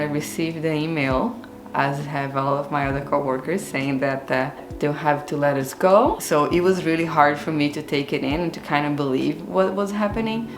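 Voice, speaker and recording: Low-voiced Brazilian woman, roomy echo. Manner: earnest, open and reflective. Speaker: gardening and cooking channel host. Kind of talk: personal storytelling vlog. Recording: roomy echo with faint music.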